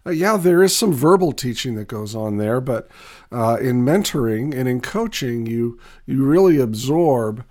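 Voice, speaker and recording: A man with a raspy, hoarse voice from a recent cold, teaching a conversational video lesson on Bible passages for business owners, with frequent fillers and restarts. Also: medium pitch, expressive, normal speed, darkish tone.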